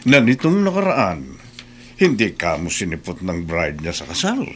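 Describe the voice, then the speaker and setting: A man's voice: deep voice, wildly swooping pitch, normal speed, slight background noise. A man playing a stern, wary criminal accomplice in a radio soap, speaking with warning emphasis. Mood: curious. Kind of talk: Philippine radio soap opera acting.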